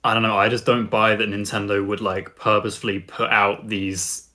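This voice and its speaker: A man's voice: fairly low pitch, little pitch movement, normal speed, pushed and bright. A man in casual discussion as a panelist on a podcast. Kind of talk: casual chat.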